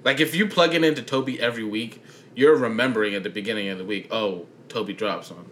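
A man's voice: lowish voice, quick pace, a bit bright, slight background noise. A young man co-hosting a casual chat, laid-back and slangy, drawing out his phrases. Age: young adult. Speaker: podcast co-host.

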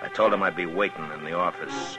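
An actor playing a stern, laconic western town marshal in a 1950s radio drama, speaking and narrating in a terse, understated way. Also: male voice, sits low, steady tone, brisk, close to an everyday voice. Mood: grim narrating. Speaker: lead actor and first-person narrator. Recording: vintage 1950s radio recording, music bed.

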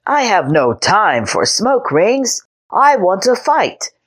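A woman voicing a pompous six-year-old boy knight who makes grand, loud declarations. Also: mid-range voice, very animated, slow pace, breathy edge, pitched above the performer's own voice, exaggerated voice. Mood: boastful.

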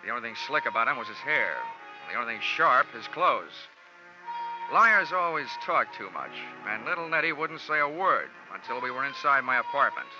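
A man's voice: mid-range voice, animated, moderate pace, close to an everyday voice. An actor playing a wry, laconic male insurance investigator narrating and acting in a 1940s radio detective drama. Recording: dull old 1940s radio recording, music behind.

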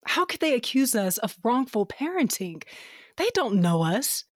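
A woman's voice: on the high side, big pitch swings, normal speed, dry recording, studio quality. An actress playing a frightened, bewildered mother with an anxious, questioning manner in an audio drama. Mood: worried. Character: Nia, frightened, bewildered mother dragged off to jail.

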